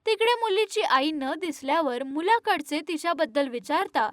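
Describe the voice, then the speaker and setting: A woman tells an animated moral tale as narrator. Her voice is extremely high and recorded very dry, with scripted storybook phrasing. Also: normal speed, no room sound.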